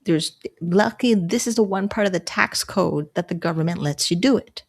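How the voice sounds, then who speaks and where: A woman from Canada in her thirties, hosting a casual solo livestream in a chatty, rambling way, laughing often and jumping up in pitch when excited. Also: moderate pace, slightly rough. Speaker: livestream host.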